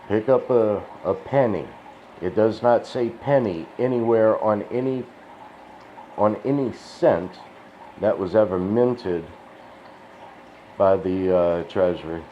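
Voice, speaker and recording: A man's voice: fairly low pitch, takes it slowly, long pauses. A man rambling in a casual, profane, folksy monologue, sounding tired and dry-mouthed. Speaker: crypto video host. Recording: dull lo-fi sound with faint hiss.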